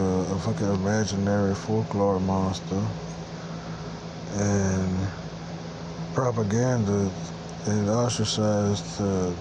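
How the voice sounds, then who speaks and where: A congested man with a stuffed-up nose, rambling in a halting rant full of fillers, restarts and swearing. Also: lowish voice, background noise. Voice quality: quiet, hard to hear. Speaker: vlogger.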